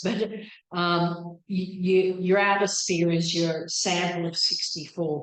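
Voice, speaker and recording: The low, tiring voice of a woman researcher feeling her way apologetically through an evening society lecture in an echoey meeting room. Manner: tentative, self-questioning, apologetic. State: losing her voice, throat-clearing. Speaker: PhD researcher presenting a talk. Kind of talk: academic lecture. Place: indoor meeting room with audience.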